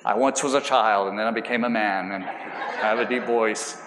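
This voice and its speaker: A man's voice: on the low side, steady tone, moderate pace, big-room sound, noisy background. A man pastor giving a conversational sermon with rambling asides and everyday illustrations, recorded in a church sanctuary.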